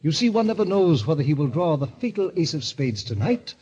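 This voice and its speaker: Husky, suave sinister club president. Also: male voice, fairly high pitch, sing-song, darkish tone. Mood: grandiose, solemn. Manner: pompous, florid, genteel, deliberate phrasing. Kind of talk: 1940s radio acting. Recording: dull, lo-fi vintage radio recording.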